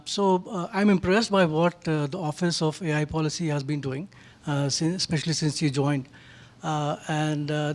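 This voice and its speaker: Halting higher-voiced man asking a question. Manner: halting, with many "uh" fillers. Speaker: audience member asking a question. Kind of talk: audience question at a panel.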